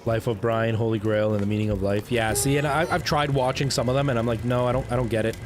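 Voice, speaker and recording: A man's voice: medium pitch, steady tone, fast talker. Chatty man with a light Canadian accent. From El Salvador. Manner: chatty, rambling, lots of restarts and fillers. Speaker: livestreamer. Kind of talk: casual live-stream chat with viewers. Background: faint music.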